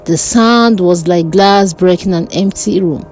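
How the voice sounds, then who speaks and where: Slow, dramatic woman storyteller. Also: fairly low pitch, a little mellow, soft background music. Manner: deliberate, theatrical. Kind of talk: dramatic scripted narration of a dark folk tale.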